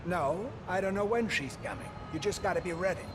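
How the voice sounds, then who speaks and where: An impatient mob lieutenant, raised in pitch and swinging widely. He barks orders in a bossy game-villain manner, with noise clearly behind him. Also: lively intonation, fairly quick. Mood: irritated. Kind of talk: video game cutscene acting.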